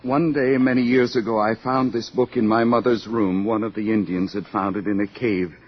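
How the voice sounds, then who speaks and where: Guy acting earnest tormented young outsider, poetic and intense, rough-edged voice, muffled old radio drama. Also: lowish voice, steady tone, normal speed, close to an everyday voice. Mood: weary, earnest. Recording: dull, lo-fi old radio recording.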